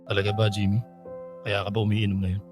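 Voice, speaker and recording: A man's voice: lowish voice, flat delivery, brisk, over music, lightly acted. A man with a Filipino accent playing a hesitant, sombre, guilt-ridden older man in a radio drama. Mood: concerned. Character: guilt-ridden older man torn over a forbidden love. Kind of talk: radio drama acting and narration.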